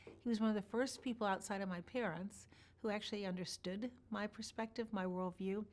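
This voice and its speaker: A woman in an interview, telling personal stories in a measured, thoughtful way with pauses. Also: medium pitch, steady tone, slight background noise. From born in Iran, grew up partly in Chicago. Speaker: interview guest. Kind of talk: reflective personal storytelling.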